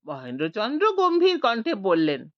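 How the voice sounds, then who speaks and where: A woman's voice: a little high, huge pitch swings, moderate pace, dry recording, muffled recording. A woman narrating a scripted audiobook story, voicing the village characters expressively.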